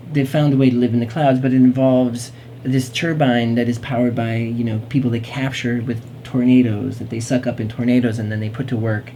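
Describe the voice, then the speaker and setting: A woman's voice: rumbling low, mellow, slight background noise. A woman hosting a talk show in casual, playful chat, rambling along in a whimsical way.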